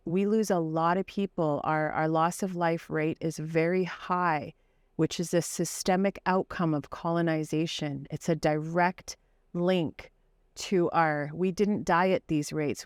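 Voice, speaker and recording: A woman's voice: on the low side, clean tone, no room sound, studio quality. A woman in her forties, a psychologist and advocate, speaking earnestly and passionately in an interview, with frequent fillers. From Canada. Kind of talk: interview conversation.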